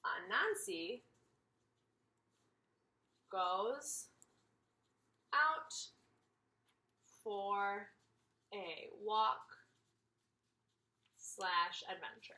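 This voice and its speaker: A woman in her thirties teaching and reading aloud to kindergarteners, expressive, with questions and emphatic pauses. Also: mid-range voice, poor audio. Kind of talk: instructional read-aloud for young children. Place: indoors, room with a brick wall.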